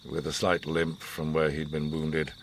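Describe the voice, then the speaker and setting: An actor playing an older, worldly and enigmatic mastermind in a radio drama, speaking in a theatrical, measured, formal and philosophical way with a husky voice. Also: male voice, a bass voice, completely flat delivery, slight background noise. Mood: grave, reminiscing. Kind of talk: radio drama acting, storytelling monologue.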